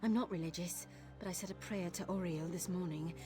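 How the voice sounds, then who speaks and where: A woman's voice: on the low side, brisk, lightly acted. An actress voicing an earnest young woman thief searching for her missing father in a video game, confiding in tone. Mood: worried, determined. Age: young adult. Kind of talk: video game character dialogue. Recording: game music behind the voice.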